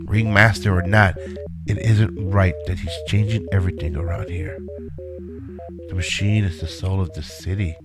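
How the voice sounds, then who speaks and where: A man's voice: deep voice, fairly even intonation, normal speed, loud music behind the voice, natural voice. A man playing a reluctant inventor in an audio drama, earnestly protesting for the work he is devoted to. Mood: brooding, indignant. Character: Einstein, a reluctant, indignant male inventor devoted to his work.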